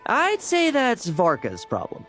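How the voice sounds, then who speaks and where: A woman's voice: on the high side, huge pitch swings, unhurried, music in the background. An actress playing a sly, worldly woman in a video game, speaking in a wry, teasing manner.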